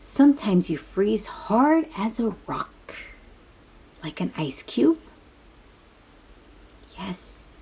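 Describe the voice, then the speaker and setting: Slow, breathy woman reading to children. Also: mid-range voice, very animated, dark tone, poor audio. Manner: slow, child-directed, encouraging questions and affirmations. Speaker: read-aloud storybook host. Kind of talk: gentle picture-book read-aloud with asides to young children.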